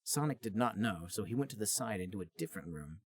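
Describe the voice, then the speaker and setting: A man's voice: on the low side, animated, quick pace. A man co-hosting a podcast, reading a story aloud in a mock-serious, dramatic comic style. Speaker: podcast co-host and reader.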